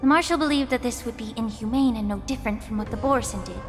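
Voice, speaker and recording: An actress voicing a calm, thoughtful young woman alchemist in a video game, speaking in a measured, analytical way. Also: fairly high pitch, close to an everyday voice. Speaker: game character. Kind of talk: dubbed game dialogue. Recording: long reverb with game music.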